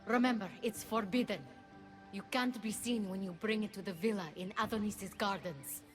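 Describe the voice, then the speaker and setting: An actress playing a stern, commanding goddess-like mentor in a video game, measured and disdainful. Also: female voice, medium pitch, fairly even intonation, music in the background, lightly acted. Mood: grave, warning. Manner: imperious, measured, solemn. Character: stern, commanding divine female mentor, coolly disdainful of feelings. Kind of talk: video game cutscene acting.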